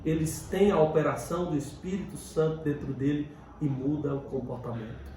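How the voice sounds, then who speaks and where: A man's voice: fairly high pitch, fairly even intonation, moderate pace, warm tone. A Brazilian man teaching the Bible as a pastor, exhorting listeners in short, emphatic phrases and informal exposition. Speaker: pastor teaching a Bible lesson, host of the show. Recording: very echoey room, distant mic, faint noise.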